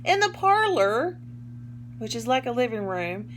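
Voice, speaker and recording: A woman reading a picture book aloud to young children like a teacher, expressively, pausing to explain words in simple terms. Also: fairly high pitch, sing-song, slight background noise.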